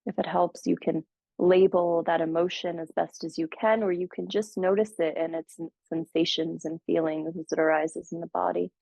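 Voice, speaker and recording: A woman guiding a meditation, speaking slowly and gently with soothing pauses. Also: on the low side, level tone, gravelly, no room sound. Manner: slow, gentle, soothing guidance with long pauses. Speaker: meditation teacher. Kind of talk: guided meditation. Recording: dull, muffled audio.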